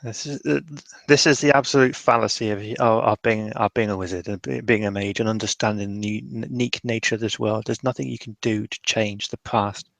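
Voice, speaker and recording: A man improvising in character during a tabletop roleplaying session, halting and restarting his phrases as he goes. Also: on the low side, steady tone, vocal fry, fairly bright tone, no room sound.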